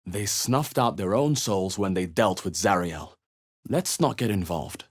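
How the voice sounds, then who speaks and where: A husky, wary fantasy game adventurer. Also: male voice, low voice, animated, a little slow, full character voice. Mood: grave, guarded. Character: male adventurer hero, wary, determined, spellcaster. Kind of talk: video game character voice lines.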